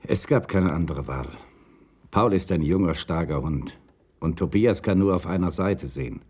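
An actor playing a weary, remorseful man confessing in a droning monotone in a German radio crime drama. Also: low-pitched, normal speed, breathy edge. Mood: dejected, resigned. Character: weary, remorseful man confessing, defending his old tomcat.

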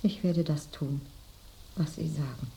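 A dying woman for a radio drama. Her voice is soft, dark and breathy, drifting slowly through sensual, feverish memories. A light noise lies over the recording. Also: sits low. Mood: feverish whisper. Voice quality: breathy whisper. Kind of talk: radio play acting.

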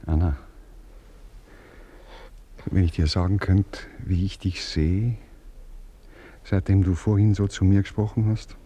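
An actor playing a tender aristocratic baron who speaks intimately and softly in a radio play. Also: male voice, slow, pauses often, slightly rough, exaggerated voice. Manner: intimate, soft-spoken, lively pitch.

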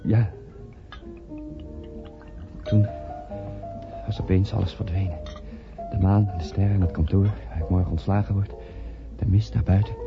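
An actor playing an enraptured, wondering suitor in a romantic radio play. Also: male voice, low voice, steady tone, moderate pace, pauses often. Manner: tender, wondering. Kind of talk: romantic radio drama acting. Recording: dull old radio recording, music behind. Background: loud music.

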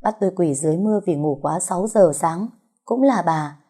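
Woman as a defiant young heroine, low-voiced. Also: brisk, warm tone, lightly acted. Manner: blunt, standing her ground. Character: defiant orphaned young woman, long-suffering but sharp-tongued.

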